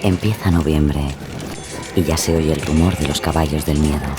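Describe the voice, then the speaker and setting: Very deep-voiced male narrator. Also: steady tone, moderate pace, over loud music. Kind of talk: scripted atmospheric narration.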